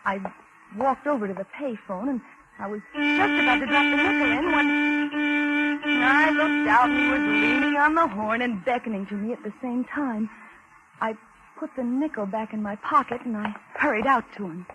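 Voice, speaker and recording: An actress playing a weary, anxious young American car hop, confiding and wry, in 1940s radio suspense style. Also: female voice, high-pitched, normal speed, close to an everyday voice. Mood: confiding, uneasy. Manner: wry, confiding, working-class plain talk. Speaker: narrator and lead character. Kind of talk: 1940s radio suspense acting, first-person narration. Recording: noisy old 1940s radio recording.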